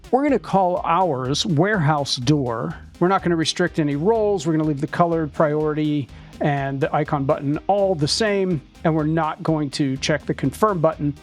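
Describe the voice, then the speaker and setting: Higher-pitched man narrating a tutorial. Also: darkish tone. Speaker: software training instructor.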